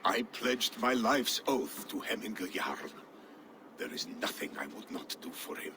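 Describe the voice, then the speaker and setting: A loyal, dutiful steward speaks in a low, earnest voice with a dry edge. Game ambience is clearly audible behind him. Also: male voice, lively intonation, unhurried, noisy background. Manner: earnest, dutiful, dryly wry.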